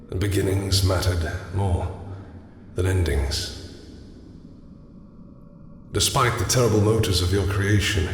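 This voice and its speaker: A man playing an immortal god of death in a fantasy audio roleplay, brooding, imperious and yearning, speaking in a solemn, grandiose way. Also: sits low. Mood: tender, yearning. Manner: grandiose, solemn, slow deliberate speech. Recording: cavernous echo, long reverb, faint noise.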